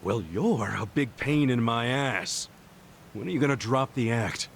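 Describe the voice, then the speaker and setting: An actor playing a brash, stubborn ex-con gangster with a gruff, curt manner. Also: male voice, mid-range voice, a little slow, slight background noise. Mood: irritated. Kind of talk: dubbed game cutscene acting.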